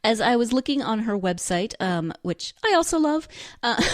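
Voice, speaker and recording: A woman's voice: fairly high pitch, very animated, a little slow, dry recording, studio quality. A woman hosting a podcast, chatting enthusiastically about books in a giggly, rambling way full of asides. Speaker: podcast host. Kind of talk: chatty solo book review talk.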